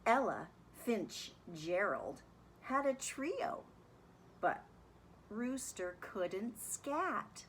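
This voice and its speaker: A woman speaking as a music teacher, reading a children's story and explaining it in an animated, deliberate, instructive way. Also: medium pitch, slight background noise. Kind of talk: expressive children's read-aloud and lesson.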